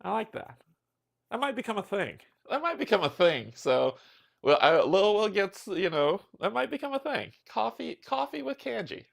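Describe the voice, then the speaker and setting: A man's voice: high voice, sing-song, moderate pace, gravelly. A man hosting a casual livestream of a tabletop game, chatty and upbeat, greeting his viewers by name and joking about himself. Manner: chatty, upbeat, self-deprecating. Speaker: streamer and host.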